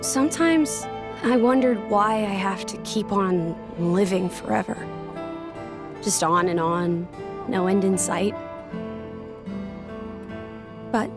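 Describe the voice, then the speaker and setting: Slow, gentle immortal maiden. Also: female voice, medium pitch. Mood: wistful. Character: gentle, wistful, centuries-old youthful female Blade. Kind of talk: video game character acting. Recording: music behind the dialogue.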